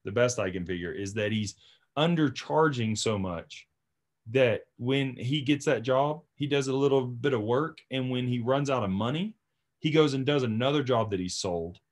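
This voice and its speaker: A man speaks as a tree service owner in relaxed, informal conversation. His voice sits at an average pitch, and he talks through work stories in an everyday, chatty way. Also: moderate pace. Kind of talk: casual chat, storytelling from work.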